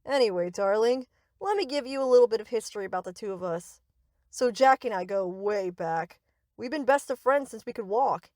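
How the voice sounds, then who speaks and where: A woman's voice: on the high side, lively intonation, moderate pace, a little mellow, dry recording, clean studio sound, lightly acted. A woman playing a sweet, reassuring but possessive young yandere best friend in an anime-style audio roleplay, coaxing and doting. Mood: affectionate.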